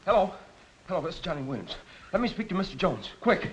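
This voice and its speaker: An actor playing a hurried, excitable newspaper reporter in a 1940s film. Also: male voice, high-pitched. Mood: urgent. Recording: very noisy old 1940s film soundtrack.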